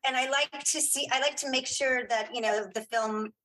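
A woman's voice: high voice, fairly even intonation, moderate pace, pushed and bright, rough recording. A woman chatting casually as an interview guest, often repeating words and restarting as she goes. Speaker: guest, filmmaker and writer.

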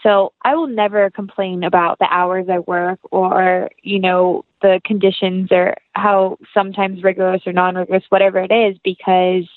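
A young woman with an American accent talks casually as an interview guest. She is earnest and effusive, rambling through her thoughts at a medium pitch. The sound is dry, with little room in it. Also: little pitch movement, normal speed, few pauses, no room sound, narrow, tinny audio. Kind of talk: casual interview chat, anecdotal.

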